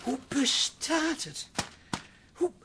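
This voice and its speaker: An actor playing a pedantic, touchy son-in-law who corrects everyone, in a radio comedy. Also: male voice, extremely high pitch, sing-song, very slow, loud music behind the voice, exaggerated voice. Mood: indignant lecturing. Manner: pedantic, indignant.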